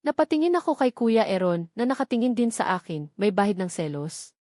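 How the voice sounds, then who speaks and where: A woman's voice: mid-range voice, expressive, a little fast, dark tone, no room sound, clean studio sound. A woman with a Filipino accent giving a scripted first-person narration of a romantic drama. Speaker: narrator of audio love stories.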